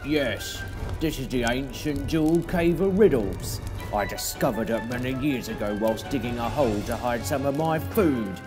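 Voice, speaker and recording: A man's voice: medium pitch, expressive, normal speed, music in the background, close to an everyday voice. A man voicing a greedy, snarling fire-breathing fox villain with theatrical menace in a children's audio adventure. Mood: gloating threat.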